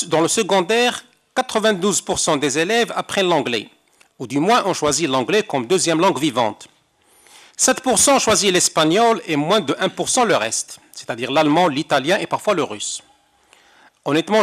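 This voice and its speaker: An older man from Morocco delivers a prepared seminar talk. His voice sits a little high, and his delivery is measured and rhetorical, with ironic asides. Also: fairly bright tone. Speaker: conference interpreter giving a talk. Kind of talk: reading a prepared speech. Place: seminar hall.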